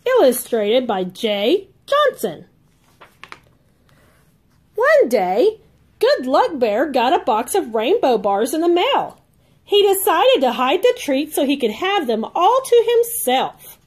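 Female read-along host, expressive children's storyteller, slow careful delivery, high sing-song voice.

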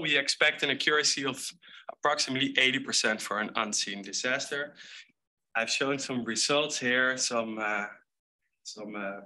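Young male speaker, very bright and crisp-edged tone, explaining slides in a halting keynote delivery. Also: mid-range voice. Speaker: data scientist, keynote speaker. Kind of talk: conference presentation, explaining slides. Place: indoor stage with screens.

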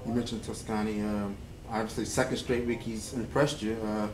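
A man's voice: lowish voice, little pitch movement, normal speed, a crowd in the background. A man interviewing a coach after a game, asking casual questions with many fillers. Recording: echoey room with faint noise.

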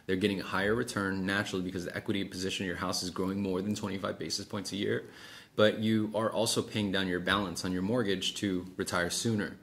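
Low-voiced man coaching, casual. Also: flat delivery, quick pace, a bit bright. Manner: casual, explanatory, checks with "right?". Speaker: sales coach. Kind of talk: one-on-one coaching with role-played sales dialogue. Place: small office meeting room, indoors. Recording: clearly noisy room sound.

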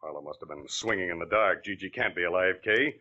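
An actor playing a cocky ex-convict, brusque and cajoling by turns, in a 1940s radio drama. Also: male voice, low voice, quick pace, sharp tone. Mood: impatient, dismissive. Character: scheming, smooth-talking ex-convict crook, impatient and cocky. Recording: muffled old-time radio recording.

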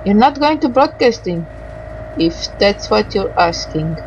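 A woman doing let's play commentary, reading game dialogue aloud haltingly and adding muttered asides. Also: medium pitch, lively intonation, highs cut off, noisy background.

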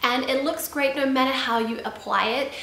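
A woman in her thirties with a stuffy, sniffly voice from a cold talks warmly and eagerly to camera, stretching out her favorite words. Her voice sits a little high. The room adds a noticeable echo around her. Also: fairly even intonation, a little hiss or hum. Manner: chatty and enthusiastic, drawn-out emphasis. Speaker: beauty vlogger. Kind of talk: casual product review to camera. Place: small room indoors, seated on a sofa.

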